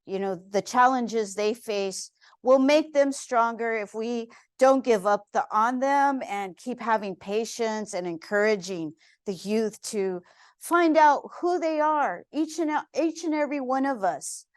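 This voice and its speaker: A woman in her fifties gives a museum lecture in a slow, unhurried way, with earnest and heartfelt words. Her voice is smooth and clear, a touch higher than most, and it sits dry and close with no room echo. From San Antonio, Texas. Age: 50s. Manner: earnest, heartfelt, with frequent pauses and fillers.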